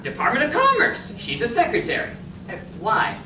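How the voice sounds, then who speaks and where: Radio play boy female performer: eager, candid, boyish, animated delivery in a noisy, reverberant room. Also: on the high side, normal speed. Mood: thoughtful. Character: eager, honest, chatty schoolboy. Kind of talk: stage radio drama acting. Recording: echoey, distant, noisy live room.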